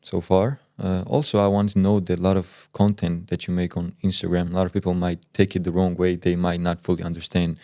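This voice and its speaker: A man with a deep, somewhat mellow voice interviews casually in a dry, close-sounding recording. He hedges and takes his time getting to the point, putting the same question several ways. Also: flat delivery, a little fast, hardly any pauses, no room sound. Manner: wordy, hedging, rephrases his questions. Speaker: interviewer, podcast co-host.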